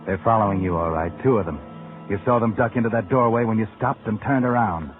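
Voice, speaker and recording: A man's voice: on the low side, quick pace, narrow, tinny audio, natural voice. An actor playing a sinister, all-knowing narrator who mockingly needles a guilty man in a 1940s radio suspense show. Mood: taunting, ominous. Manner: sardonic, needling, addresses the listener as the character. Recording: thin old radio recording, loud music behind.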